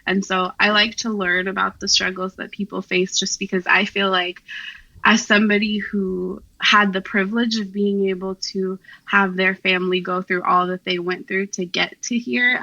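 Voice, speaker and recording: A young adult woman guest speaking earnestly and a little haltingly in a casual interview. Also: fairly low pitch, creaky, sharp tone, no room sound. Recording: slightly muffled line.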